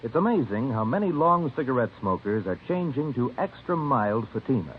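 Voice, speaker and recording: Lively American radio pitchman. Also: moderate pace, a little hiss or hum. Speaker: commercial announcer. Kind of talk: 1950s radio advertising read. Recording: tinny vintage radio recording.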